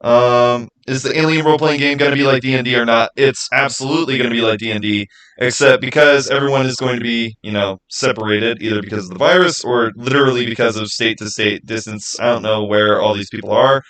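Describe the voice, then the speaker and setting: A man's voice: medium pitch, steady tone, quick pace, fairly bright tone, rough recording. A man chatting informally as a livestream host, answering viewer questions and rambling as he thinks aloud. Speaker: livestream host and game master.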